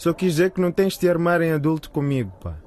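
A man's voice: on the high side, fast, a little mellow, natural voice. A man playing an evasive, worried teenage schoolboy in a colloquial radio drama. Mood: defensive. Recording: faint background noise.

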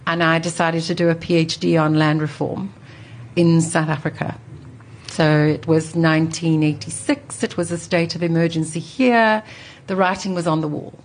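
A woman in her sixties telling her life story as an interview guest, articulate and thoughtful, with considered pauses. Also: deep voice, fairly even intonation, slight background noise. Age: 60s. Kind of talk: reflective personal storytelling in an interview.

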